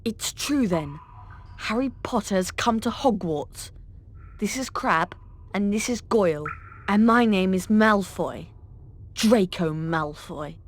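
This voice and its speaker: A haughty boy bully from a fantasy game. He drawls his words slowly, mocking and superior. He sounds like a born snob. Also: medium pitch, animated, slight background noise. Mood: smug. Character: snobbish, sneering eleven-year-old schoolboy bully. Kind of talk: video game cutscene voice acting.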